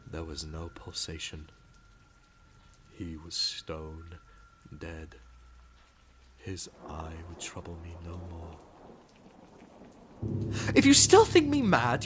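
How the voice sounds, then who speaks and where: A man giving a dramatic reading of a gothic tale, theatrical, swinging between hushed whispers and frenzied shouts. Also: medium pitch. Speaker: reader. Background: clear music.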